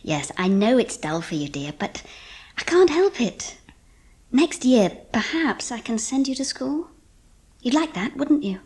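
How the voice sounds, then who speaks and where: A woman playing a gentle, loving Edwardian mother, brave but wistful, in a dramatised audiobook. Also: on the high side, big pitch swings, a little slow, husky, heavily acted. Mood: tender. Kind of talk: dramatised audiobook narration and dialogue.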